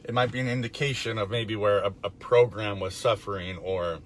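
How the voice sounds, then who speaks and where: A middle-aged man with a raspy, gravelly voice, hoarse from being under the weather, talks casually about faith and recovery from his parked car, with fillers and soft chuckles. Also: on the low side, brisk, a little hiss or hum. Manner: relaxed, rambling with fillers and chuckles. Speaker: recovery vlogger. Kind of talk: casual faith talk to camera.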